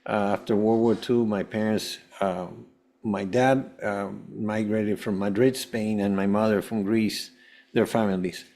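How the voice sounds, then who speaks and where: A man with a fairly low voice speaks as an interview guest from Venezuela. He recounts personal experience haltingly, often doubling back and repeating words. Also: fairly even intonation, darkish tone. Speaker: podcast guest, holistic health coach.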